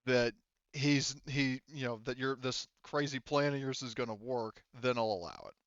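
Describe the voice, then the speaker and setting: A man's voice: mid-range voice, normal speed, dry recording, dull audio, natural voice. A man voicing a wary, grudging barkeeper in a tabletop game, firm about his terms.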